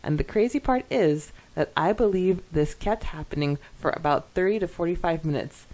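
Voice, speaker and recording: A woman with a slightly low-pitched voice co-hosts a podcast. She reads listener comments and chats casually, with an expressive, giggly manner and frequent exclamations. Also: moderate pace, rough recording. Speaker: podcast co-host.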